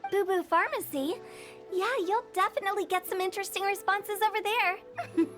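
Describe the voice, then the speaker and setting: A woman's voice: very high voice, moderate pace, fairly bright tone, faint music behind. A woman playing a quirky, self-assured, mischievous teenage girl in a video game, playful and teasing. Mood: playful, smug. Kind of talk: video game character dialogue.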